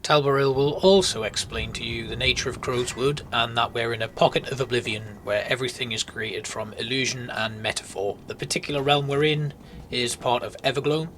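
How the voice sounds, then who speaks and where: A man's voice: medium pitch, brisk, a bit bright, a little hiss or hum. A man giving informal, explanatory commentary as the host of a video game walkthrough. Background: music.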